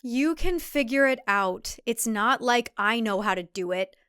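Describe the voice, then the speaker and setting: A woman's voice: a little high, lively intonation, unhurried, a bit bright, clean tone. A woman voicing a condescending, menacing male cult leader and husband in a thriller audio drama. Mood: dismissive. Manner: condescending, mocking, self-righteous.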